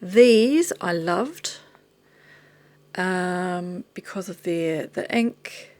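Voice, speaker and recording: A woman with a New Zealand accent, tired and slightly hoarse, chatting casually and rambling off topic as she shows things to the camera. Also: on the low side, lively intonation, drawn-out words, darkish tone. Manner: rambling, chatty, self-deprecating, drifting off topic. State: tired and losing her voice. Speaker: vlogger. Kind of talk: casual chat showing items to camera.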